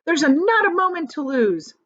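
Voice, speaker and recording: A woman's voice: high-pitched, big pitch swings, normal speed, fairly bright tone, lo-fi sound, pitched above the performer's own voice. A woman voicing a brave young boy who leads his friends with urgent orders in a storybook. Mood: urgent commands.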